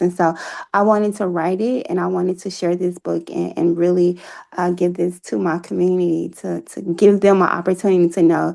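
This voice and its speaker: A woman's voice: lowish voice, vocal fry, darkish tone. A woman speaking as an interview guest, telling her personal story in an earnest, reflective way. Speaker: interview guest, author and playwright.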